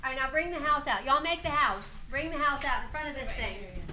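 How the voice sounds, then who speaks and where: In a reverberant, noisy room, a woman with a high voice and a Southern US accent narrates a homemade play. She reads the story aloud and steps out of it to coach the young cast through their parts. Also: fairly quick. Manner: breaks off to coach and prompt young performers. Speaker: narrator directing a children's dramatization. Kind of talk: reading a story aloud with off-script stage directions. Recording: echoey room, noisy amateur recording.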